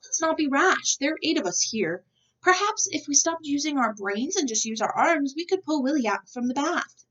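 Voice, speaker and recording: A woman voicing a gentle, hesitant first lady, a patient wife in a storybook. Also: high-pitched, expressive, normal speed, recorded from a distance, lo-fi sound, pitched above the performer's own voice. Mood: gently insistent.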